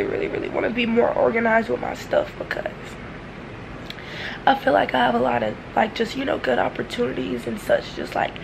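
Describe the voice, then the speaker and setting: A woman's voice: a little high, gravelly, noisy background. A young woman in her twenties vlogging casually, talking straight to her viewers in loose slang, recorded close on a handheld phone. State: raspy morning voice. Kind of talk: casual vlog chat. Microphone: handheld phone camera close up.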